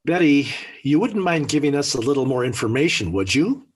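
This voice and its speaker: A man's voice: mid-range voice, very animated, fairly quick, husky. An actor playing an exasperated middle-aged American family father in a 1940s radio sitcom, with formal, wordy, dryly sarcastic delivery. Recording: vintage 1940s radio recording.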